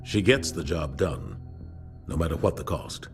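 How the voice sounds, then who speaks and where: A man playing a steadfast middle-aged military officer in a video game, speaking in a firm, measured way. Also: rumbling low, over music. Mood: firm, solemn. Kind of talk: video game character acting.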